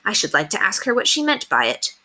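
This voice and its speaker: A woman's voice: medium pitch, sing-song, a little fast, bright, forceful tone, exaggerated voice. A woman voicing a kindly, earnest fifteen-year-old governess in a reassuring, determined way.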